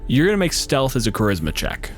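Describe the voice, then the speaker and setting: A man's voice: medium pitch, huge pitch swings, quick pace, soft background music. A man improvising narration as game master, casual and wry, swearing freely.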